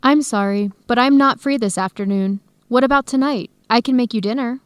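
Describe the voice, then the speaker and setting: Radio drama girlfriend, female actor: young, conciliatory, earnest, slightly high pitch. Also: a little fast, no room sound, close to an everyday voice. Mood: earnest, pleading. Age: young adult. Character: kind, conciliatory young Ethiopian American girlfriend.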